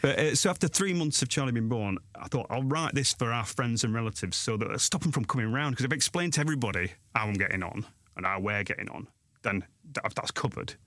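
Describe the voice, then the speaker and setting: A man's voice: mid-range voice, lively intonation, fast, fairly bright tone, no echo, clean studio sound. A man in his forties from Sheffield with a South Yorkshire accent, chatting as a guest in a casual interview, self-deprecating and rambling with lots of restarts. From Sheffield, England. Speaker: guest author and blogger.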